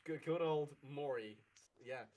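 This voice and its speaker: A man with a slightly higher than usual voice co-hosts a casual chat, teasing and joking with his friends. He keeps a relaxed, bantering tone throughout. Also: slow. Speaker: podcast co-host.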